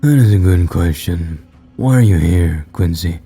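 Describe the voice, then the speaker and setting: A man voicing a menacing shadow demon in a storybook, coldly mocking, speaking slowly with deliberate, bored emphasis. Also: deep voice, lively intonation, mellow, husky, soft background music, full character voice. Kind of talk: audio storybook character voice.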